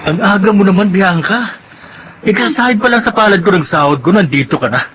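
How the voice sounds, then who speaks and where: A man's voice: high voice, expressive, fairly quick, noisy background. A man playing a bossy, teasing, lecherous patron of a mistress in a melodrama. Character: lecherous man paying his demanding mistress. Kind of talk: Tagalog radio-style melodrama acting.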